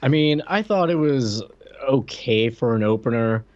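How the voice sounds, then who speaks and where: A man's voice: medium pitch, lively intonation, unhurried, a little mellow. A man co-hosting a podcast, chatting casually with wry, bemused commentary on a slightly lo-fi recording. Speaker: podcast co-host.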